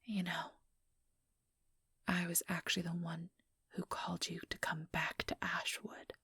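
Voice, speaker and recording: A very breathy, whispering female vampire acted by a woman in an ASMR role-play. She speaks slowly and condescendingly, mock-sweet on the surface and coldly menacing beneath. The sound is close and dry. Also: on the low side, flat delivery, bright, forceful tone, no room sound, exaggerated voice. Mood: smug. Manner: slow, taunting, mock-sweet. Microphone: close, dry microphone.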